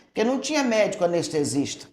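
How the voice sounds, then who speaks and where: An older woman, a retired school director, telling stories from her early years in a relaxed way and often tagging her sentences with "né?". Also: on the low side, fast, slight background noise. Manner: storytelling, tags statements with "né?". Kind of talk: reminiscing interview answers. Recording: echoey room.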